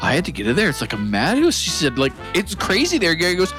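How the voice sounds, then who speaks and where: A man's voice: fairly high pitch, quick pace, over music. A man co-hosting a podcast, telling anecdotes with acted-out quotes and lots of laughter. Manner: lively anecdote teller who acts out quoted voices and laughs often. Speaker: podcast co-host. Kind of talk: casual chat.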